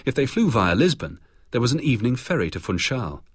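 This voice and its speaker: A man's voice: medium pitch, very animated, brisk, husky, no echo, studio quality. A British man narrating a scripted biography for radio.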